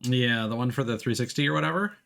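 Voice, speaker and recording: A man's voice: medium pitch, quick pace, gravelly, a bit bright, faint music behind. A man doing casual live stream commentary on a video game, chatting to viewers in a wry, sarcastic way.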